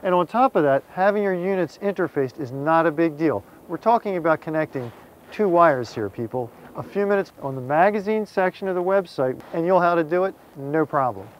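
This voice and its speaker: A man's voice: on the high side, lively intonation, fairly quick, lo-fi sound, a little hiss or hum. A middle-aged American man presenting a scripted how-to to camera in a direct, folksy way, addressing viewers plainly. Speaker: presenter, magazine contributing editor. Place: outdoors on a small open boat on the water.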